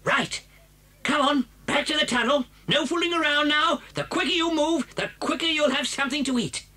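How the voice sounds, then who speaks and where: A man playing a clever, boastful, commanding father fox in an animated, theatrical dramatised children's storybook tape. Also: extremely high pitch, normal speed. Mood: firm, commanding.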